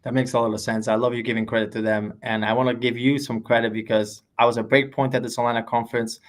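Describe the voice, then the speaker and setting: A man with a mid-pitched voice hosts an interview over a remote call. He reads out questions and speaks in an upbeat, complimentary way toward his guest, with plenty of "you know" fillers. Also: little pitch movement, fast talker. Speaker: podcast host. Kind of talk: interview hosting.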